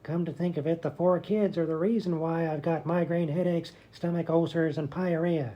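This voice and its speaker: A woman's voice: low-pitched, fairly even intonation, normal speed, warm tone. A woman playing a crabby, self-pitying old male hospital grump in a comedy radio sketch, blunt and dismissive. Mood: grumpy, dismissive. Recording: dull, muffled audio.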